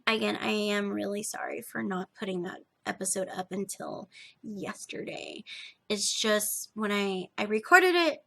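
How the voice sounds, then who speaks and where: A young woman with a slightly gravelly edge, chatting casually and emphatically as a podcast host, often restarting her sentences. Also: a little high. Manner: animated, emphatic, self-interrupting. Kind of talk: casual rambling chat to viewers.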